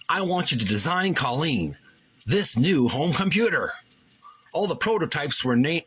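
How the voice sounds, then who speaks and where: A man's voice: medium pitch, fairly bright tone, breathy edge. A man hosting his own channel, recovering from a cold and casually reading a book aloud, rambling with many fillers. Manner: rambling, filler-heavy, self-deprecating. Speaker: host.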